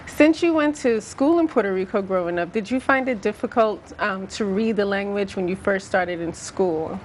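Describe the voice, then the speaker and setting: A woman's voice: medium pitch, normal speed, slight background noise, poor audio. A woman host, welcoming a guest and asking friendly questions. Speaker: interview host. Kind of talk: interview questions.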